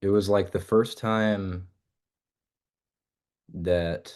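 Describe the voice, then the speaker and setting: A man's voice: deep voice, fairly even intonation, drawn-out words, a little mellow. A young man, a musician guest, chatting casually in an interview and rambling with many fillers and restarts.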